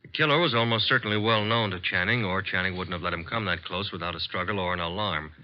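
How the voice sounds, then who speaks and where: A man playing a brisk, wry detective's assistant in a vintage radio mystery, matter-of-fact in delivery. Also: deep voice, close to an everyday voice. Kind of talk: 1940s-50s radio mystery acting. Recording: dull vintage radio recording.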